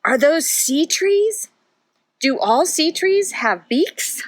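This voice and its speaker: A woman's voice: high-pitched, sing-song, slow, dry recording, voice pushed up, exaggerated voice. A woman reading aloud as a curious, wide-eyed seagull from a picture book, full of questions. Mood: curious, puzzled.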